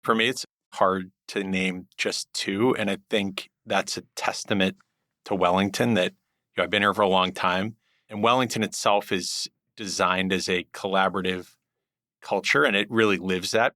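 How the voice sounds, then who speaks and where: Bright, dry-voiced thoughtful man. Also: sits low, fairly even intonation, normal speed, no echo. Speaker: guest, hedge fund group head. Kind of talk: podcast interview answers.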